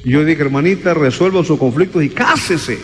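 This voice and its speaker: Higher-voiced preacher guy, punchy and repetitive, long echo in the hall, soft music under it. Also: fairly quick. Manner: emphatic, repeats words for stress. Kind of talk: sermon.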